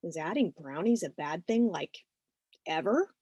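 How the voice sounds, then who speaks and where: A woman's voice: lowish voice, animated, unhurried, darkish tone, breathy edge, dry recording, dull audio. A woman voicing a nerdy fifteen-year-old boy with a literal, lecturing manner, in a story reading. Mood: smirking. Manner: matter-of-fact, lecturing. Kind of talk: audiobook-style character reading.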